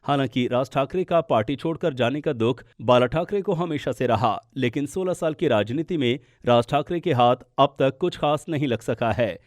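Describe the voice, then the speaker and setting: A man's voice: mid-range voice, moderate pace, few pauses, no room sound, clean studio sound. A man narrating a scripted political explainer as a news podcast presenter.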